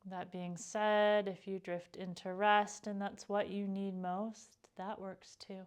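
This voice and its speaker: Female meditation teacher, smooth resonant mid-range voice, gentle unhurried guidance with calm pauses. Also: mid-range voice. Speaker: yoga nidra meditation teacher. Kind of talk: guided meditation instruction.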